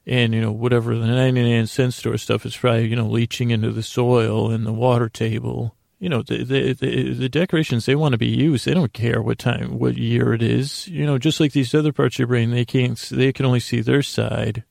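A man hosting a bedtime podcast, rambling in a gravelly, creaky voice with halting, stammered repetitions and a self-deprecating manner. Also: mid-range voice, steady tone, a little mellow, no room sound, clean studio sound. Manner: meandering, halting, stammering with repeated words. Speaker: podcast host.

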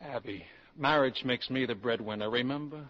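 A man plays a commanding, impatient professor in love in an old radio drama. His voice is forceful and mid-pitched, brisk and persuasive. The recording is dull. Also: natural voice. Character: insistent, exasperated college professor in love, commanding. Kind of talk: 1940s radio drama acting.

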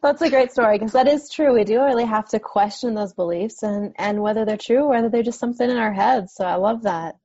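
A woman's voice: on the high side, fairly quick, few pauses, warm tone, muffled recording. A woman hosting a podcast, chatting casually and supportively with her guest and closing the episode. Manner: supportive, affirming interviewer who wraps up with plugs. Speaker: podcast host. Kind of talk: casual interview chat.

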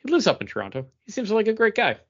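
A man's voice: high voice, wildly swooping pitch, racing, husky. A man co-hosting a podcast, chatting in a casual, informal style. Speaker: podcast co-host. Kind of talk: casual chat. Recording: dull, lo-fi audio.

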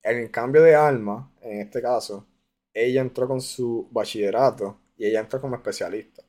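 A man's voice: medium pitch, normal speed, a little mellow. A man from hosting an interview, explaining points for listeners and laughing easily. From Puerto Rico. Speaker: podcast host. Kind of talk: casual interview.

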